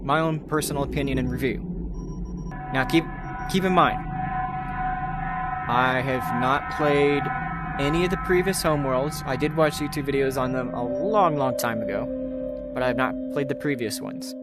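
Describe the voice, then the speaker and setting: A man's voice: medium pitch, normal speed, pauses often, over loud music. A man giving a casual, unscripted game review in a hesitant, rambling way. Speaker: gaming video host.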